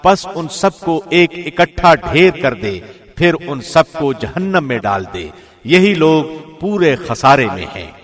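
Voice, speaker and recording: A man reads a scripture translation from a script in a measured, formal way. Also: fairly high pitch, very animated. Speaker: reader of Urdu Quran translation.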